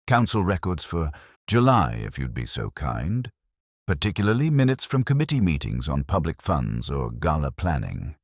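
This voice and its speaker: A man playing a wry, analytical police inspector who speaks deliberately in a mystery story. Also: rumbling low, wildly swooping pitch, dry recording, studio quality, full character voice. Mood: grave, thoughtful.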